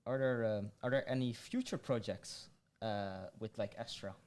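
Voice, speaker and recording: A man's voice: lowish voice, normal speed, a little mellow. A man hosting a podcast interview, hesitant and stammering, repeating words as he asks his questions. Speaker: podcast host. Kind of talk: casual interview chat.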